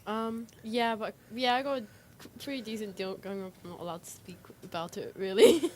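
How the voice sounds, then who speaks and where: A woman's voice: medium pitch, fairly quick, a little mellow. A woman co-hosting a casual chat, talking hesitantly with lots of filler words. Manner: hesitant, frequent "like" hedging. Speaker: co-host. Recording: faint background noise.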